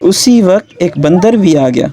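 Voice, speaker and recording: Male narrator for a kids' adventure story, voice a little on the high side. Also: expressive, measured pace, crowd noise. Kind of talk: scripted children's story narration.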